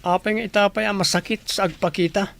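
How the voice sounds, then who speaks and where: A woman's voice: medium pitch, fairly even intonation, fast, creaky, a bit bright, no room sound. A woman playing a resentful, unforgiving younger sister in a radio drama, with a bitter, challenging manner.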